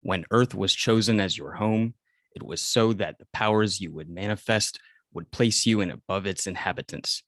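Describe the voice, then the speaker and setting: A man playing a stoic, protective alien robot guardian in a table read, speaking formally and in measured phrases. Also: fairly low pitch, fairly bright tone, no room sound, lightly acted. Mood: grave.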